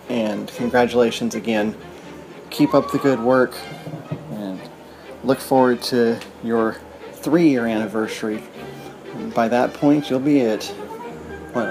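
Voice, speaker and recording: A man's voice: mid-range voice, rough recording. A man, a podcast host, leaving a friendly, casual congratulatory message. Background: music.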